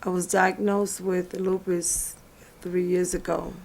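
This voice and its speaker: Slow, gravelly woman in her fifties. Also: fairly low pitch, level tone, a little mellow, slight background noise. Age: 50s. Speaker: patient. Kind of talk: documentary interview.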